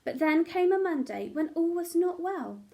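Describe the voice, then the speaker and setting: A woman teacher reading a children's picture book aloud in an expressive, animated storytelling manner. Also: very high voice, moderate pace, vocal fry.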